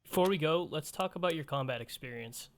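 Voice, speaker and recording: A man's voice: a little high, expressive, a little fast. A man reading a video game's dialogue and narration aloud as the player. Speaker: gamer voicing player lines and narration.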